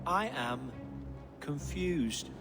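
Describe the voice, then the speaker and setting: A man's voice: on the high side, very slow, over loud music. An actor playing a sly, scheming middle-aged puppet king who talks in a wry, silky, evasive way. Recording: game audio with long reverb.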